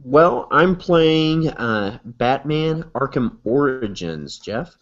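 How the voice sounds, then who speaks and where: A man co-hosting a casual podcast, measured and hesitant, with many fillers. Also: medium pitch, animated. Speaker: podcast co-host. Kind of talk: casual chat. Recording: lo-fi podcast audio.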